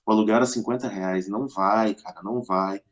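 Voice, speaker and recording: A man's voice: fairly low pitch, fairly even intonation, fairly quick, muffled recording. A middle-aged man with a southern Brazilian accent from Rio Grande do Sul, casually telling anecdotes as a podcast guest in a colloquial way, acting out quoted dialogue.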